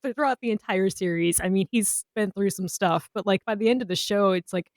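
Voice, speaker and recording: A woman's voice: medium pitch, fairly quick, no room sound. A woman co-hosting a casual podcast, chatting in a slangy, giggly way. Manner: giggly, slangy, animated reactions. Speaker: podcast co-host. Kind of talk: casual chat about Tolkien adaptations.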